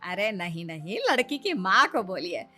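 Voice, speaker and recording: A woman's voice: lowish voice, animated, normal speed, pushed and bright, soft background music. A woman playing a greedy, bossy middle-aged mother-in-law who is pushy, scolding and wheedling, in a cartoon story. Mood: demanding.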